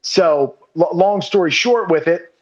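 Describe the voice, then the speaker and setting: A man talking loosely on a podcast, his voice sitting high for a man. He swears easily, leans on "dude" and "like," and laughs at himself as he rambles. The sound is dull and a little lo-fi. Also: unhurried. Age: under 40. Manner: swears freely, says "dude" and "like" constantly. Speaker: podcast guest. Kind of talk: casual conversational chat.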